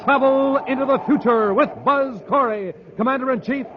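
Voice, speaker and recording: A man's voice: very high voice, normal speed. An American man announcing and narrating in a dramatic, punchy, scripted 1950s radio style. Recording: muffled, hissy old radio recording.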